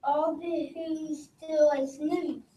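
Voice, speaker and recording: A young reader, sounding like a child, reading a rhyming story aloud slowly and haltingly. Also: high-pitched, level tone, dark tone. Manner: slow, stumbling over words. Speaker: readers theater performer. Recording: roomy, distant mic, background noise.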